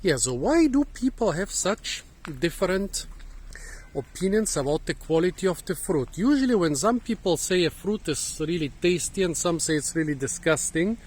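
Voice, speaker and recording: A hoarse man, musing and halting as he thinks aloud while hosting a casual fruit-tasting vlog outdoors in a garden, recorded on a phone. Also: high voice, sing-song, darkish tone, rough recording. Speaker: fruit channel host. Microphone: phone camera.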